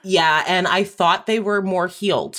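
A bright, clear-edged voice at a middling pitch, talking casually as a guest writer on a podcast. The delivery is informal and open, with a self-deprecating streak. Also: steady tone, measured pace. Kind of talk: casual personal chat.

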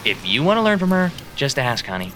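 A breezy guy with animated intonation. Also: medium pitch, fairly quick, a little hiss or hum. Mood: breezy, encouraging. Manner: breezy, reassuring. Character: friendly, encouraging male stranger making casual small talk. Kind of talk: audio drama acting.

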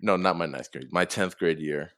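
A man's voice: low voice, normal speed, fairly bright tone, no room sound, clean studio sound. A young man from Tonga giving casual, somewhat hesitant interview answers as a college football player. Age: young adult.

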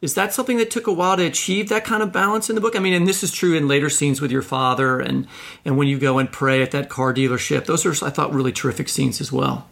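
A man's voice: fairly high pitch, fairly even intonation, fast, creaky, fairly bright tone. A man hosting a conversational literary interview, asking long, emphatic questions. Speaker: podcast interviewer, host.

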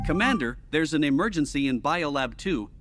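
An actor playing a polite, over-attentive spaceship computer with a courteous, servile manner in a sci-fi audio drama. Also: male voice, a little high, animated, moderate pace, fairly bright tone, a little hiss or hum. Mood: courteous, helpful. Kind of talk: science fiction audio drama acting.

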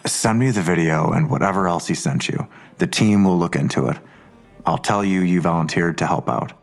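A skeptical investigator in a fiction podcast. His voice is deep, and he talks with weary, sardonic dismissal in an understated, natural delivery. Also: moderate pace, vocal fry, faint music behind. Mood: dismissive, sardonic. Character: Blossom, weary skeptical male investigator, a father. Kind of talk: naturalistic audio drama acting.

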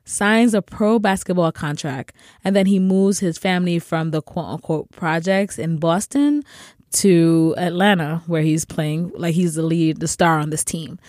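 Chatty woman co-host, bubbly, laughs a lot, slightly low voice, dry close sound. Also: measured pace, darkish tone, dry recording. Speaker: podcast co-host. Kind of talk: casual chat.

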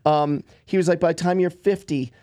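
A man's voice: a little high, fairly even intonation, a little fast, mellow, no echo, clean studio sound. Man in his fifties telling stories. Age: 50s. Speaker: podcast guest. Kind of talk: casual storytelling.

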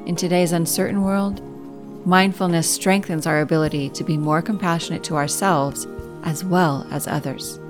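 A woman narrating a guided meditation as host, calm and measured, giving gentle step-by-step instruction. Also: on the low side. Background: music.

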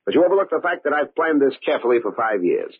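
A man's voice: medium pitch, very animated, fast talker, breathy edge, phone-quality sound. A man playing a cold, scheming secretary turned murderer in a radio drama, gloating with cool menace. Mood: smug, menacing. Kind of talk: old-time radio acting. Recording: band-limited old radio recording.

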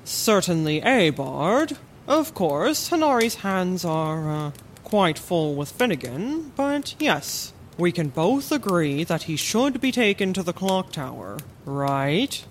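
A woman's voice: lowish voice, big pitch swings, slow, a little hiss or hum. A woman voicing a courteous, cautious male doctor in a fantasy audio drama, with a polite, dryly hesitant delivery. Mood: earnest, hesitant.

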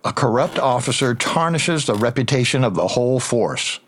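An actor playing an officious police detective who interrogates with formal, pressing, insinuating questions in an audio drama. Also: male voice, medium pitch, animated, moderate pace, a bit bright. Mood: needling, pressing. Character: needling, officious male internal-affairs detective interrogating a colleague.

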